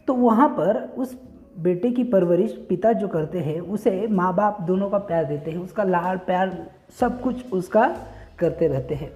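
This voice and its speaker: Male storyteller, dark mellow tone, simple repetitive phrasing, moral tale, quiet music behind. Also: high voice. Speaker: storyteller and channel host. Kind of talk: moral storytelling with direct address to listeners.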